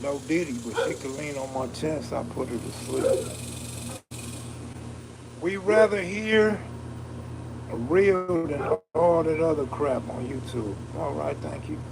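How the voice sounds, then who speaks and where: A man's voice: fairly high pitch, lively intonation, slow, vocal fry, pauses often, noisy background. A man hosting a casual live stream, chatting in a laid-back, slangy, streetwise way with a soothing, soulful voice and reading comments aloud.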